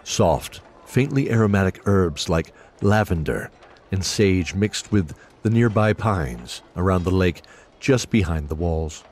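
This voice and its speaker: A man's voice: deep voice, lively intonation, a little slow, darkish tone. A man reading scripted narration for a fantasy audio drama. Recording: music behind the voice.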